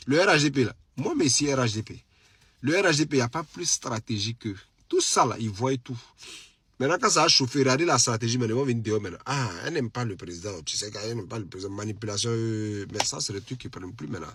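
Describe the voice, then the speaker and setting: A man's voice: medium pitch, expressive, quick pace, a bit bright, breathy edge, dry recording. A man with an Ivorian French accent and a head cold, chatting casually and animatedly to followers on a live stream, repeating phrases for emphasis. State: has a cold. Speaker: social media live streamer. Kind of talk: casual late-night live chat to followers.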